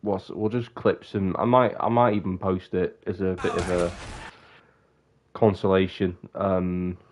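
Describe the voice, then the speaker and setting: A man's voice: low-pitched, flat delivery, measured pace, darkish tone, a little hiss or hum. A young man with a bunged-up, sniffly voice, rambling casually on a live stream and often breaking off mid-sentence. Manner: rambling, self-interrupting.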